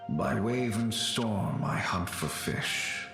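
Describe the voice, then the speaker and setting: A man voicing a solemn ghostly guardian spirit in a video game, chanting in an archaic, deliberate manner. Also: fairly low pitch, expressive, vocal fry, music in the background, exaggerated voice. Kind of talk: video game character acting. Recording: heavy cavernous echo effect.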